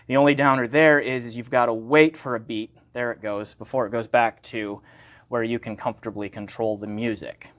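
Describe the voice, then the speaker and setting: A man giving a casual, unscripted product review, talking conversationally and thinking aloud as he corrects himself. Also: medium pitch. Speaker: gear reviewer and channel host.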